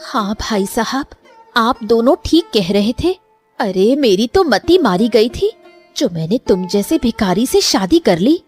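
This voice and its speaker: A woman's voice: fairly high pitch, sing-song, a little slow, a little mellow, breathy edge, soft background music, exaggerated voice. A woman voicing a bitter, contemptuous young wife in a cartoon story, scolding and disdainful. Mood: bitter scorn. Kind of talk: Hindi cartoon moral story acting.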